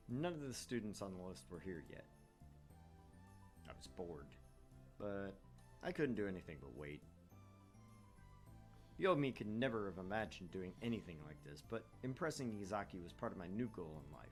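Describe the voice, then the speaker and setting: A man hosting a gaming show, reading a visual novel aloud and commenting casually. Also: fairly low pitch.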